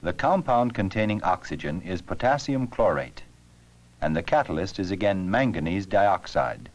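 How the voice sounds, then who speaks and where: A man narrating an educational science film with scripted, measured, explanatory delivery. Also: low-pitched, sing-song, highs cut off.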